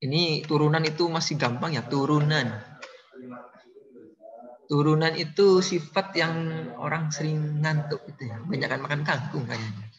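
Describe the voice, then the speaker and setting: A man's voice: on the high side, normal speed, muffled recording, a crowd in the background. A man giving an online math lecture, teasing his students and joking in mock regional accents. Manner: playful, teasing, jokes with students. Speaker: university lecturer.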